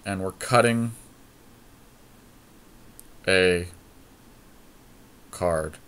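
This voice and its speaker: A man's voice: deep voice, very slow, fairly bright tone, clean tone. A man co-hosting a card game podcast, thinking aloud in a casual, analytical way as he talks through his options step by step.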